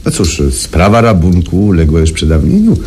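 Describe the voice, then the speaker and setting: Lively, low-voiced sceptical gentleman. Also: moderate pace. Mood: sceptical probing. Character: probing, sceptical gentleman listener, worldly and legal-minded. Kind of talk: Polish radio drama acting. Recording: noisy old radio drama recording.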